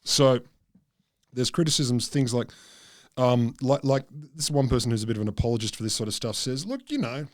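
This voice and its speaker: A middle-aged man co-hosting a casual science podcast, explaining from notes in a halting, dryly joking, sweary way into a close microphone. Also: mid-range voice, steady tone, dry recording. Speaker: podcast co-host. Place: small book-lined room, indoors. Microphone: close podcast microphone, headphones.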